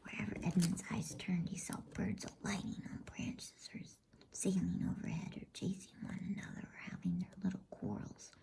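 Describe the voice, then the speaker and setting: A woman reading softly in a breathy whisper, in a soothing, unhurried ASMR bedtime story style. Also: lowish voice, big pitch swings. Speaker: host and storybook reader.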